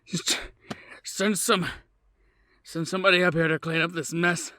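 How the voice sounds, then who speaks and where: An indignant male professor from a tabletop game, as a man performs him. He speaks in a raised pitch with pompous, formal outrage. The sound is dry. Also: level tone, measured pace, sharp tone, no echo. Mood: curt, pained. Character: indignant injured male university professor pressing charges.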